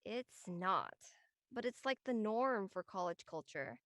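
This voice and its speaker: A woman's voice: mid-range voice, lively intonation, unhurried, a bit bright, no room sound, clean studio sound. A young woman acting a sincere, conversational college student in an online drama. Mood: reflective, subdued. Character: earnest young Filipino-American college woman, frank among friends.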